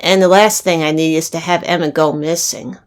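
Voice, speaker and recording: A woman's voice: deep voice, fairly even intonation, fairly quick, lightly acted. A woman playing a worried, protective young woman in an audio roleplay, talking in an anxious, conversational way.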